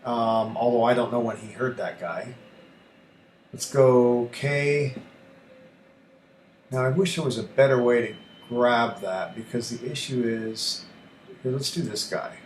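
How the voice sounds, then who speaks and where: A man thinking aloud through a casual screen tutorial as a hobby radio host, halting and muttering to himself as he works. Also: mid-range voice. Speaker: amateur radio YouTuber, host. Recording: echoey room with faint background noise.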